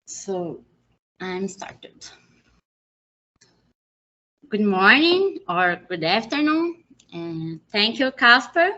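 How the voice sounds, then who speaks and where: A young Brazilian woman presents in slow, careful English with a Brazilian Portuguese accent and many restarts. Her voice is bright and fairly high. The sound is muffled, as on a video call. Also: big pitch swings. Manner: slow, halting non-native English with restarts. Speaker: presenter, PhD student. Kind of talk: webinar presentation. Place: small indoor room. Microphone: video call, laptop mic.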